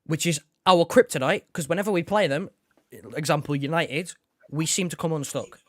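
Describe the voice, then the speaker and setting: A man's voice: fairly high pitch, normal speed, a bit bright. A young man co-hosting a football watch-along, breaking down tactics and stats. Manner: analytical, offers stats and tactics. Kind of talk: live football analysis chat.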